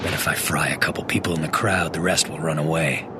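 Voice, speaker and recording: A man playing a gritty, bitter young antihero, narrating a video game in a terse, brooding, gravelly voice. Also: a bass voice, fairly even intonation, quick pace, background noise. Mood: cold, calculating. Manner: brooding, terse, hard-boiled delivery.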